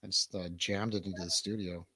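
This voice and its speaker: A man's voice: deep voice, level tone, brisk, bright, forceful tone, breathy edge, no echo. A man hosting a talk stream, casually chatting and asking questions. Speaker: livestream show host. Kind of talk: casual chat, interviewing. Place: home studio, seated on a couch.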